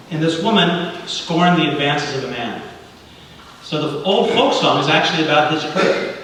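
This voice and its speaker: A middle-aged man from Cuba, a native Spanish speaker, giving a sermon-like address in an earnest, deliberate way with pauses between phrases. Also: mid-range voice, fairly bright tone. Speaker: guest speaker leading a congregational service. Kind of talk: sermon-like public address with readings. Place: large reverberant indoor hall, speaking to a congregation. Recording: very echoey hall, distant mic, light noise.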